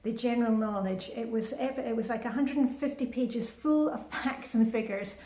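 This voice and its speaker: Woman in her fifties, chatty vlogger, slightly high voice, echoey room, lots of ums and restarts. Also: moderate pace, mellow. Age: 50s. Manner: chatty, upbeat, with many fillers and restarts. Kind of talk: casual vlog chat. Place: indoors at home, with a short outdoor clip in the rain.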